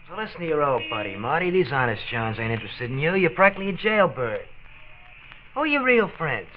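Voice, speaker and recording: An actor playing a slangy young street tough who taunts and cajoles, in a mid-century radio drama. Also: male voice, a little high, big pitch swings, fairly quick. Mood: taunting, coaxing. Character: young male street tough, sneering, cajoling bad influence. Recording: muffled old radio recording, faint noise.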